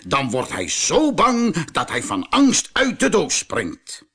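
A husky, growling toy lion played by a man from a children's storybook, boastful and menacing. The words are drawn out slowly for threat. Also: on the high side, huge pitch swings, bright, forceful tone, voice pushed up, full character voice.

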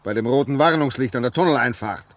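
An actor playing a wary, haunted middle-aged railway signalman with halting answers in a 1950s radio drama. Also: medium pitch, dry recording, natural voice. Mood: ominous. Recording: noisy old 1950s radio recording.

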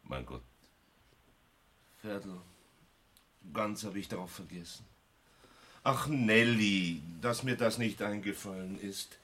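An actor playing a good-natured, conciliatory husband and old comrade, gentle and familiar, in a radio play. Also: male voice, lowish voice, slow pace, long pauses, husky, exaggerated voice. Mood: sheepish regret. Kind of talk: German radio play acting.

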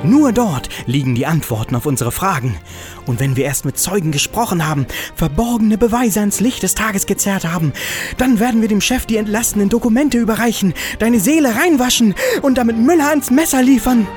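A woman's voice: mid-range voice, animated, brisk, darkish tone, faint music behind, natural voice. A woman playing an earnest, devoted office adventurer in a comedic radio play, melodramatic and urgent. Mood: determined.

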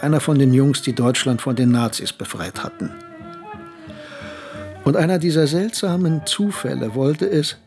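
A world-weary male narrator of a radio thriller. He speaks very slowly and drily, with a creaky, gravelly edge and a brooding, resigned air. Faint music runs behind him. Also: medium pitch, darkish tone. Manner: deliberate, brooding, dry. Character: disillusioned male narrator looking back, bitter and resigned. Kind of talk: scripted radio drama narration.

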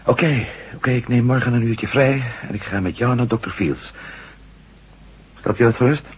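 A man's voice: medium pitch, normal speed, breathy edge. A man acting a weary, troubled former astronaut in a radio drama, speaking hesitantly and broodingly. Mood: resigned. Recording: muffled, noisy old radio recording with hum.

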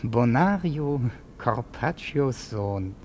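An actor playing a sly, self-admiring parasite servant in a classic radio play, theatrical and unctuous. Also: male voice, medium pitch, animated, extremely slow, darkish tone. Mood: gloating, scheming. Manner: theatrical, gloating asides and unctuous pleading. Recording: noisy old radio drama recording.

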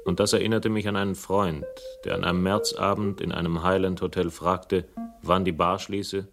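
A man playing a laconic, dryly witty private eye narrating a radio detective series in a deadpan way. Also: sits low, moderate pace. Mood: wry. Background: music.

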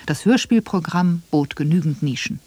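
A man reading scripted commentary for a radio documentary, measured and reflective. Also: a little high, darkish tone, a little hiss or hum. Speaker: radio feature narrator.

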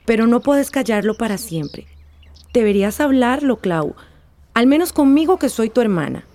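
An actress playing a concerned, coaxing young sister in a radio drama, with a Central American accent. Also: female voice, on the high side, expressive, normal speed, darkish tone. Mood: concerned, urging.

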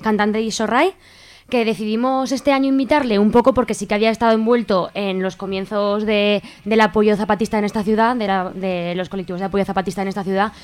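A woman's voice: medium pitch, steady tone, fast. A woman with an accent from Spain talking informally as a festival organizer in a radio interview. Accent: Spanish from Spain.

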